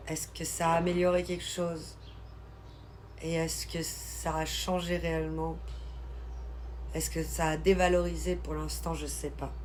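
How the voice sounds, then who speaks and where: A woman from Switzerland chatting casually on a live stream, reading and answering viewers' comments in an informal, slangy, expressive way. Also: sits low. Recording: live stream with clear background noise.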